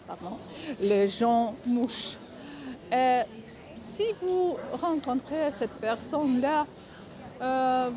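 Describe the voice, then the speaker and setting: A woman speaking French that is not her native language, giving casual advice in a vlog, halting often to search for words. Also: a little high. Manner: halting, many filler pauses, searching for words. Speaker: vlogger.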